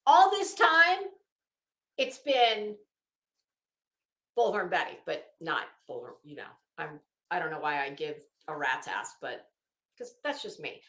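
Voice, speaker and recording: An echoey room carries the bright voice of a woman hosting a commentary stream. Her pitch sits on the high side and swings widely. She reads aloud and comments with sarcasm, breaking off and restarting with emphasis. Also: big pitch swings, a little slow, long pauses. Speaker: host of a commentary livestream. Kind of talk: dramatic reading with sarcastic off-the-cuff commentary.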